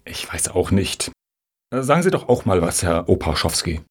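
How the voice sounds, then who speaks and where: An actor playing an unsure, deferential applicant in a satirical radio play, with a gravelly, husky voice and a hesitant manner. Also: male voice, sits low, sing-song, moderate pace, exaggerated voice. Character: hesitant, unsure male applicant for foundation money.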